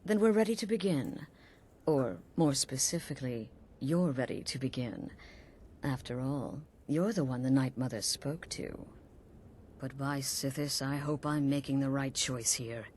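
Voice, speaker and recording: A sultry, sly woman who leads assassins in a video game. Her voice is very deep, her delivery purring, taunting and theatrical. Faint noise sits behind her. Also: unhurried, darkish tone. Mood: stern. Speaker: video game character actor.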